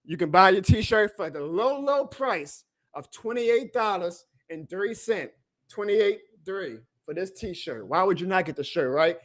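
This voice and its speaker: A man hosting a live sports reaction stream, excitable and emphatic, his voice going hoarse. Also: sits high, a little slow, no room sound. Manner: excitable, emphatic, plugs merch, reads chat aloud. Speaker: sports fan livestream host.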